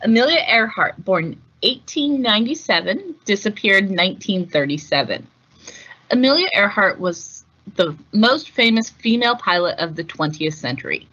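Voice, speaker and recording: Bright-voiced woman reading aloud, slightly muffled recording. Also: medium pitch. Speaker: read-aloud host. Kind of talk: scripted picture-book narration.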